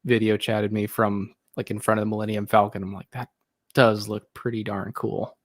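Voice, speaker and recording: A man's voice: fairly low pitch, flat delivery, normal speed, no echo, studio quality. A man appearing as a guest in a casual podcast chat, talking in a hesitant, laid-back way with repeated words.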